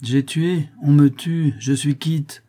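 A man's voice: on the high side, fairly even intonation, takes it slowly, mellow, pitched above the performer's own voice. A man voicing a fierce, sneering, vengeful criminal mother awaiting execution, full of cold fury and scorn, in a dramatic story reading. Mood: defiant hatred.

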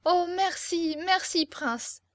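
A woman's voice: high voice, normal speed, no echo, clean studio sound, voice pushed up, natural voice. A woman reading a storybook, voicing a fiery, imperious young captive beauty with impassioned, theatrical exclamations. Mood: elated.

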